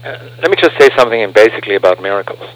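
A man's voice: lowish voice, lively intonation, very fast, no echo, low-quality recording. An earnest man, a guest on a radio interview, explaining his views through rhetorical questions. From Austria.

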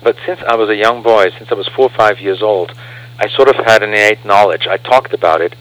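A man's voice: lowish voice, fairly quick, fairly bright tone, dry recording, rough recording. An earnest man, a guest on a radio interview, explaining his views through rhetorical questions. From Austria.

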